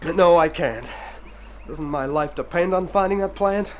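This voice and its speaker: A man's voice: on the high side, unhurried, highs cut off, a little hiss or hum, close to an everyday voice. An actor playing an earnest, plaintive convalescing city man in a radio drama, theatrical and wordy. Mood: weary, complaining. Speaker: storyteller and lead character in a radio play. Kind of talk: Golden Age radio drama acting. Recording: old radio recording with music.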